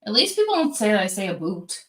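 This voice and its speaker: A woman's voice: medium pitch, wildly swooping pitch, quick pace, fairly bright tone, echoey room, distant mic, a little hiss or hum. A woman with an East Coast Canadian accent, chatting casually and poking fun at herself as a stream co-host. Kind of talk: casual chat.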